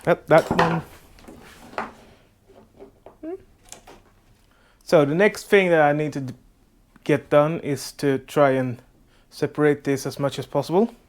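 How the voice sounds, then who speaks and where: A man with a Swedish accent who speaks English as a second language, explaining his boat repairs in a casual how-to vlog. His voice sits a bit high and he talks slowly, filling pauses with frequent uhs and restarting his sentences. He is recorded in a makeshift workshop. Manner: halting, many filler uhs and restarts. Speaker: boat restoration vlogger.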